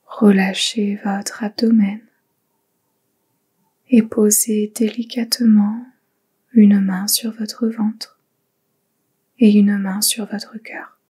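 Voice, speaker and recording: A woman leading a guided yoga nidra relaxation in a soft, breathy, whispery voice, giving gentle, soothing instructions with pauses. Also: medium pitch, level tone.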